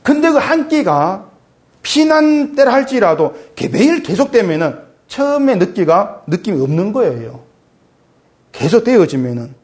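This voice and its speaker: Korean pastor preaching, rising and falling. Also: male voice, sing-song, moderate pace. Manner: conversational preaching, poses questions to the congregation; swings between raised emphatic lines and quiet low asides. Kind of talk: sermon.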